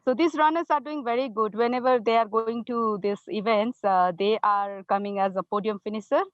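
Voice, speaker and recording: A woman's voice: fairly high pitch, steady tone, brisk, dry recording, muffled recording. A woman from the hills of India, whose first language is Nepali, talking on a panel video call with many hesitant fillers. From India, Kalimpong hills. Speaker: running coach and organizer.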